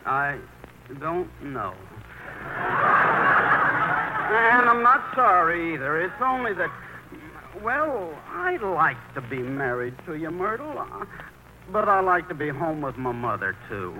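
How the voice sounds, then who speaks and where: A man's voice: on the high side, sing-song, a little slow. An actor playing a timid, hesitant grown son tied to his mother, in 1940s radio acting style. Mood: flustered, bashful. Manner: hesitant, stammering, bashful. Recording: noisy old radio broadcast recording.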